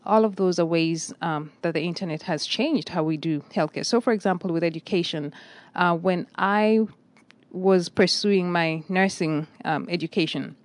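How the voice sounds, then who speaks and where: A middle-aged woman, a nursing director answering interview questions in a measured, articulate way with frequent fillers. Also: on the low side, no echo. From Kenya.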